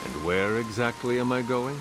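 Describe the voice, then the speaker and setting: An actor playing a solemn, courteous ancient warrior with dry wit in a video game, speaking formally in a gravelly voice. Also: male voice, mid-range voice, expressive, over music, natural voice. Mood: dryly amused. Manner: formal, measured, no contractions.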